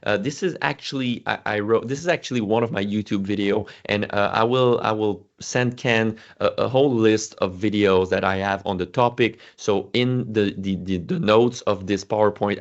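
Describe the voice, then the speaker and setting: French-speaking man with a low voice. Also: steady tone, moderate pace, hardly any pauses, lo-fi sound. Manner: self-correcting, frequent fillers and restarts. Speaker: Excel instructor presenting. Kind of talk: casual screen-share walkthrough.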